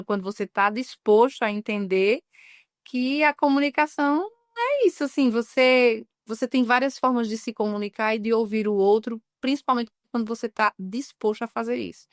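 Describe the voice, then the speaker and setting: A woman journalist from Northeast Brazil, in her thirties, talking earnestly in an interview and slowing down on key words. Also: medium pitch, no room sound, studio quality. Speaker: journalist guest. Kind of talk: reflective interview talk.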